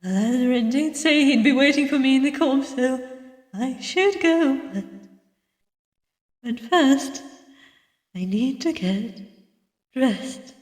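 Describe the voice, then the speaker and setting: Slow, brooding detective voiced by a woman. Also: high voice, lively intonation, pitched above the performer's own voice. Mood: thoughtful. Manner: measured, dramatic, brooding. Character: reserved, weary detective narrating a strange dream. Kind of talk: dramatic visual novel narration. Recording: distant mic, echoey long reverb.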